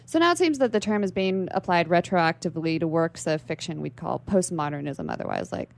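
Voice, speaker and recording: A woman's voice: lowish voice, lively intonation, fairly quick, gravelly. A woman speaking as an interview guest in a literary radio discussion, articulate and bookish.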